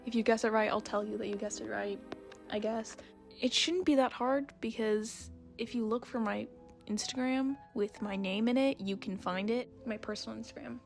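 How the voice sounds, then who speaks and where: A woman's voice: on the high side, fairly even intonation, normal speed, gravelly. A young woman giving a casual, chatty voice-over in a rambling, self-deprecating way. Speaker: video creator and artist. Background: background music.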